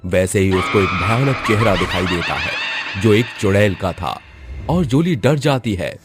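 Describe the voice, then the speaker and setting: A man narrating a spooky storybook cartoon, his voice fairly low. His pitch swings widely as he tells the tale. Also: expressive, a little slow, shouting, heavy background noise.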